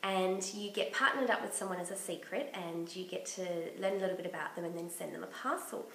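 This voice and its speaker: A woman hosting a knitting podcast in casual chat, her voice croaky from bronchitis, chatty and enthusiastic. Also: lowish voice, a little fast, a bit bright, roomy sound, slight background noise, poor audio. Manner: chatty and enthusiastic, laughs often.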